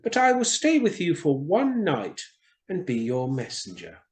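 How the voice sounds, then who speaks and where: A man's voice: fairly high pitch, huge pitch swings, a little slow, darkish tone, voice pushed up. A man reading a children's storybook and voicing a small, chatty, kind-hearted swallow with a boastful, fussy manner. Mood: reluctant, kind.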